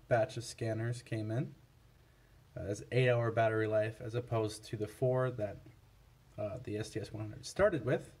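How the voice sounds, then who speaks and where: A man with a mid-pitched voice walks through a product comparison at a slow pace. His delivery is casual and unscripted, a little halting, and matter-of-fact, like a seller showing gear on a tabletop. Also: darkish tone. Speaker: product reviewer for a scanner seller.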